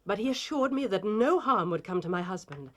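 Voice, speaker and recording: A woman's voice: mid-range voice, expressive, brisk, a bit bright. An actress playing an anguished, proud titled Victorian lady in a period drama, formal and emotionally intense. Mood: tense recounting.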